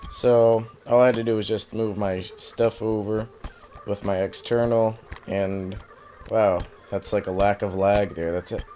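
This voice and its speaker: A young man casually commenting while he plays a video game, rambling and self-mocking, his voice slightly raspy from a cold. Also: lowish voice, steady tone, a little slow, dark tone, slight background noise. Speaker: let's play host.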